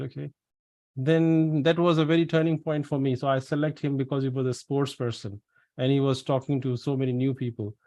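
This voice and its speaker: A man's voice: fairly high pitch, mellow. A man hosting a pharmacy webinar over a video call, chatty and repeating his words as he tells anecdotes. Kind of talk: casual webinar Q&A.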